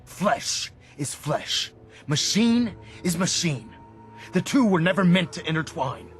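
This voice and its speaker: Zealous commander with sweeping intonation. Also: male voice, high-pitched, expressive, slow, a bit bright, heavily acted. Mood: righteous contempt. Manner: oratorical, contemptuous, emphatic. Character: zealous military commander, stern, unforgiving and grandiose. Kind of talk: dramatic video game acting. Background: cinematic music.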